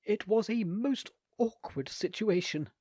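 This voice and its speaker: A jittery young gentleman on a train, mortified and desperate, as a male reader puts him on. His voice rises high and wobbles with nerves. The sound is close and dry, with no room behind it. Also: animated, unhurried, no echo. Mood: flustered. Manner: nervous, desperate, high-strung. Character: flustered, embarrassed young gentleman.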